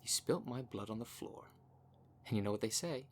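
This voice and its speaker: A man's voice: mid-range voice, lively intonation, moderate pace, close to an everyday voice. A man playing a cocky, cunning werecat with a smug, taunting, theatrical manner in an audio roleplay. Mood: taunting, menacing. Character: cocky, cunning male werecat shapeshifter, boastful and menacing. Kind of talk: ASMR roleplay monologue.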